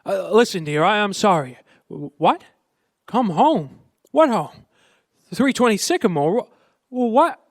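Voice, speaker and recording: A man's voice: sits high, sing-song, a little slow, long pauses, dry recording, studio quality, exaggerated voice. An actor in a radio play voicing an earnest, flustered young small-town businessman who stammers and pleads. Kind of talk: old-time radio play acting.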